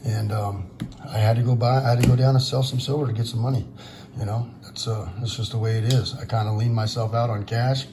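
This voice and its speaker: A hoarse man, hosting a casual vlog and talking in a rambling, frustrated way with many fillers. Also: medium pitch, steady tone, fairly quick, noisy background. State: losing his voice, hoarse. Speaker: channel host, silver stacker. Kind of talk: casual vlog talk to viewers. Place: indoors at a table over silver bars. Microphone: camera pointed down at the table, speaker off screen.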